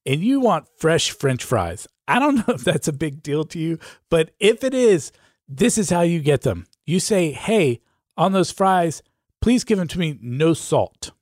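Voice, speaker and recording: A man's voice: fairly high pitch, sing-song, a little slow, dry recording, clean studio sound. A man co-hosting a podcast in a casual, conversational style, explaining things in plain everyday phrases. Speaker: podcast co-host.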